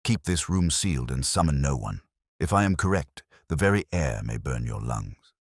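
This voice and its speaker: A man performs a precise, commanding detective of an audio mystery. The voice is very low and lively in its movement, with clipped, deliberate phrasing. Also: dry recording, studio quality, exaggerated voice.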